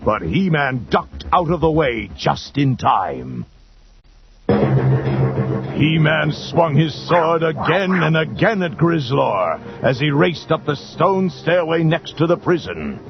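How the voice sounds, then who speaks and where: A man's voice: fairly high pitch, very animated, slow, gravelly. A man giving dramatic scripted children's narration on a muffled 1980s tape, with cartoon music behind him. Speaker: storybook narrator.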